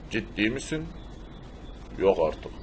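A man playing a gruff, plaintive fellow who fusses over his beloved cars in an amateur cartoon dub. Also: lowish voice, animated, slow, a little hiss or hum. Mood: alarmed. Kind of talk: amateur cartoon-style game video dub.

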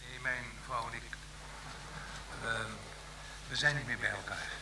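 A man's voice: medium pitch, steady tone, measured pace, roomy sound, noisy background, lightly acted. An actor playing a man remembered in flashback in a Dutch radio play. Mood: hesitant. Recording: distant, humming old radio recording.

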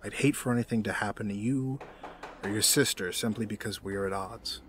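An actor playing a middle-aged businessman villain in an audio drama, formal, measured and condescending. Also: fairly low pitch, slight background noise, lightly acted. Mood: veiled menace.

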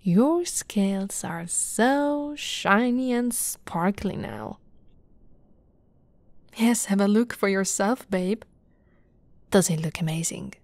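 A woman playing an affectionate, teasing lamia girlfriend in an ASMR roleplay, soft and cooing, often slipping into whispers. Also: medium pitch, no echo.

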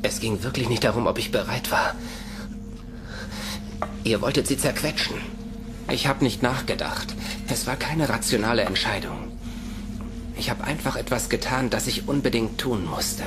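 An actor playing a brave, protective captive in an audio drama, earnest and intense. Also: male voice, mid-range voice, normal speed, long pauses, noisy background, close to an everyday voice. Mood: subdued, sincere. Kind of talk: German audio drama acting.

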